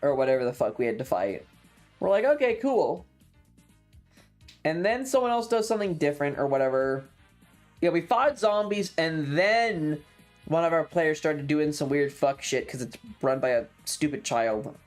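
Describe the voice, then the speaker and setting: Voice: medium pitch, lively intonation, normal speed. A streamer telling a tabletop game story casually and animatedly, with frequent swearing. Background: background music.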